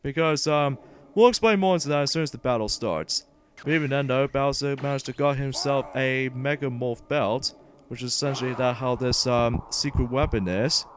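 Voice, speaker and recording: A man giving casual, rambling, halting game commentary as a let's play host. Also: medium pitch, a little hiss or hum. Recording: game music behind. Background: video game music.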